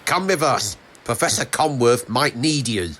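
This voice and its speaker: A man playing a precise, formal, deadpan research assistant in an audio drama. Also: mid-range voice, a little slow, a little hiss or hum.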